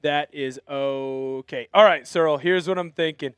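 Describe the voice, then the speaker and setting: A man's voice: on the high side, unhurried, a bit bright, clear, resonant tone, no echo, faint music behind. A man co-hosting a live sports stream, talking casually and hyped up while doing jumping jacks. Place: sports media studio.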